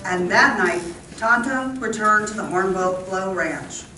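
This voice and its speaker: A woman's voice: medium pitch, measured pace, over music, close to an everyday voice. A woman reading scripted narration for an old-time radio western drama. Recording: echoey room from far off.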